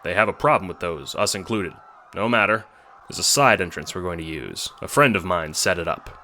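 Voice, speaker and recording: A man's voice: low-pitched, a little fast, sharp tone, slight background noise. Man voicing a blustery, bossy old adventurer. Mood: brisk, bossy. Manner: bossy, grumbling, old-fashioned blustery talk. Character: brash, gruff, gleeful old male adventurer with a gun. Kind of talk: audio drama character acting.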